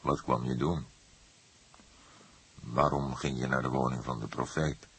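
A man playing a calm, probing old police detective in an audiobook, asking questions in a measured way. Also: extremely low pitch, slightly rough, pitched below the performer's own voice, full character voice. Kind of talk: acted audiobook dialogue.

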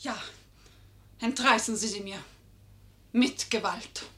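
An actress playing a cunning, proud, flattering lady in a theatrical 1950s German radio play style, fervent and coaxing. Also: a little high, slow pace. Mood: indignant, defiant.

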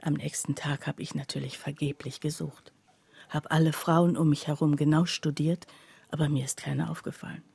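An actress playing a skeptical woman confiding about her friend in a radio play, her voice husky and breathy and her delivery hushed. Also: low voice, little pitch movement, normal speed, no echo, heavily acted. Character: skeptical female friend recounting her friend's odd behaviour. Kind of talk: literary radio play narration.

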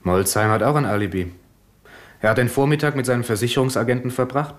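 An actor playing a male police inspector in a 1970s radio drama, speaking briskly and matter-of-factly with a Swiss German accent. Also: mid-range voice, natural voice.

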